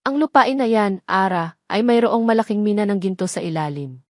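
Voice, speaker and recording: An earnest, serious rich-family man, voiced by a woman in an audio drama. He explains things gravely. The sound is dry and close. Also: medium pitch, fairly quick, no room sound, natural voice. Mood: grave, intent.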